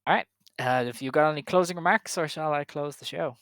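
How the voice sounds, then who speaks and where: A man's voice: fairly high pitch, fairly quick, fairly bright tone, no echo. Chatty man hosting a podcast, dry sound. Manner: chatty, hedging with "I think" and "um". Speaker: podcast co-host. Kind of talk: casual wrestling podcast chat.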